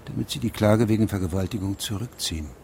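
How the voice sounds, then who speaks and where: An actor playing a grave, persistent investigating judge in a German radio play, questioning insistently in a husky, breathy voice. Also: male voice, lowish voice, quick pace, a little mellow, slight background noise. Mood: stern, probing.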